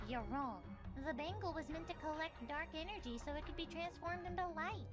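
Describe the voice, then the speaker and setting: A woman voicing a small, loyal and protective spirit companion in an animated game fandub, with music underneath. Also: high-pitched, brisk, natural voice. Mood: indignant, protective.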